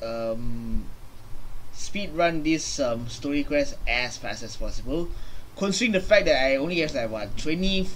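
Slow-talking young male gaming streamer. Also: mid-range voice, expressive, slight background noise, rough recording. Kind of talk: casual reaction commentary. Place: small indoor room.

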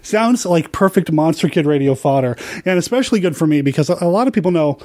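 A man's voice: a little high, lively intonation, quick pace, darkish tone, no room sound, studio quality. A man hosting a podcast in a conversational, informal way. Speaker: podcast host.

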